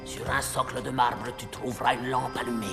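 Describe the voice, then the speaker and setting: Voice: mid-range voice, little pitch movement, over music, natural voice. An actor playing a scheming evil sorcerer who poses as a kindly uncle in a French cartoon fairy tale, with a commanding, theatrical delivery. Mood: falsely kind, instructing. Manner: slow, commanding, theatrical incantations.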